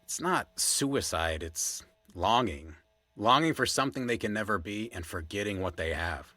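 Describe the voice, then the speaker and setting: A man acts as an earnest, rambling sidekick on a fictional podcast. His voice is lowish but bright and forward, recorded very dry, and he tells his odd facts like a digressive storyteller. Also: expressive, normal speed, dry recording, faint music behind. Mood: wistful. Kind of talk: conversational audio drama.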